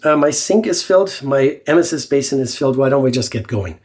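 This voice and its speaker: A man's voice: medium pitch, brisk. A man with a blocked-up nose talks in a nasal, congested voice as he reviews shaving gear. He speaks casually and easily, with lots of fillers and restarts. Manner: relaxed, chatty, with many fillers and restarts. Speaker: shaving channel host. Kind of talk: casual product review vlog.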